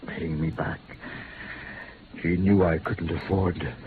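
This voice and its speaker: Deep, halting wounded man, tinny radio. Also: fairly even intonation. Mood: regretful. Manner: halting, subdued. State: weak from a wound. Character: wounded, guilty businessman, weary and regretful. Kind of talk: 1950s radio drama acting. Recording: tinny old radio recording, faint hiss.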